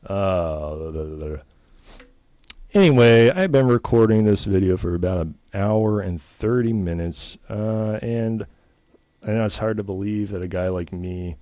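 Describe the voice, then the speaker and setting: A pompous, self-satisfied man holds forth in a very deep voice, rambling and restarting as he brags. The recording is close and dry, with no room sound. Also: a little mellow, no room sound. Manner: smug, pompous and self-aggrandizing; meandering, full of ums and restarts. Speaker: comedy channel host. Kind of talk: rambling comedic monologue.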